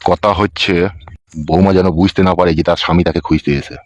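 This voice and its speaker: A man voicing a gruff, stern old village father in a comedy cartoon, with a rural West Bengal accent. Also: deep voice, level tone, fast, dry recording, lightly acted. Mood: warning. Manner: gruff, warning.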